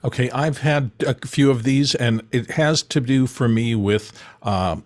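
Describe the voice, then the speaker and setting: A man's voice: mid-range voice, a little slow. A man who reads out questions and chips in conversationally on an online panel. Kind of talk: reading out questions, conversational asides. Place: online video call.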